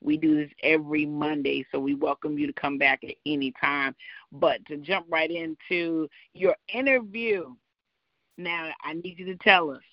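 A woman's voice: low-pitched, fairly even intonation, moderate pace, no echo, muffled recording. A woman from Carolina, co-hosting a casual radio interview in a gushing, emphatic way. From Carolina, United States. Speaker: co-host and interviewer.

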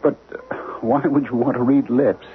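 A man's voice: medium pitch, steady tone, normal speed, natural voice. An actor playing an anxious middle-aged scholar and husband in a radio drama, hesitant but insistent in his questions. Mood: puzzled. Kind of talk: 1970s radio drama acting. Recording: lo-fi phone-like old radio broadcast, music behind.

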